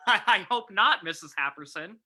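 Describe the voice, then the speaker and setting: A woman's voice: medium pitch, expressive, normal speed, heavy background noise, lightly acted. A woman playing a timid, polite, stammering young male desk clerk in an old-time radio play reading. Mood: nervous, polite.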